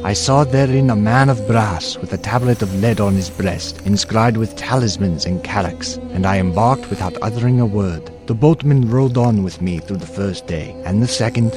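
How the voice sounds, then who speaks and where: An actor playing a prince who recounts his adventures in formal, archaic, expressive storytelling for an audio drama. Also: on the low side, close to an everyday voice. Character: young prince recounting his perilous sea voyage. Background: music.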